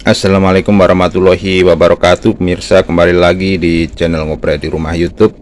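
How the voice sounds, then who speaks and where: A man's voice: sits low, fairly quick, faint music behind. A man hosting his own channel, talking casually and loosely while he shows a repair step by step. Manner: relaxed, chatty, with many fillers like "nah" and "nih". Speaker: YouTube channel host. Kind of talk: casual hands-on repair demonstration.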